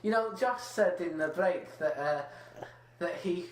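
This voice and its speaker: A man's voice: sits high, measured pace. A young man hosting a casual comedy podcast, rambling and hedging with lots of "like"s. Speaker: podcast host. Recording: roomy echo with faint hum.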